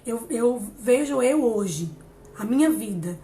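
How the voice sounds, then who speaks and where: A woman in her late thirties speaks to a live-stream audience in an encouraging, chatty way. Her voice sits fairly high, and she often stops, repeats a word, and starts her sentence again. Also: animated, slow, a little hiss or hum. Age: 30s. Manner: warm, encouraging, many hesitations and restarts. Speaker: psychologist hosting a live stream. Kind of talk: casual live-stream talk on emotional growth.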